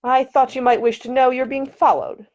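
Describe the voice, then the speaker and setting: A woman's voice: sits high, steady tone, normal speed, darkish tone, voice pushed up, natural voice. Woman doing a skulky young lord, teasing and wry, audiobook character. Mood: matter-of-fact. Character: skulking young nobleman, sly and mocking.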